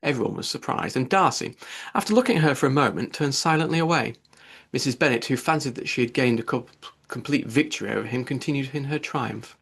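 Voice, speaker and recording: A man narrating a classic novel as an audiobook in an expressive, scripted reading style. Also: medium pitch, fast talker, creaky, husky.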